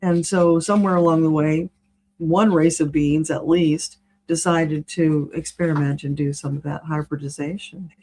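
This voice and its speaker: A woman's voice: deep voice, steady tone, moderate pace, mellow, slight background noise, rough recording. A woman giving a conversational spiritual reading as a guest, explaining things in a matter-of-fact way. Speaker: spiritual reader, interview guest.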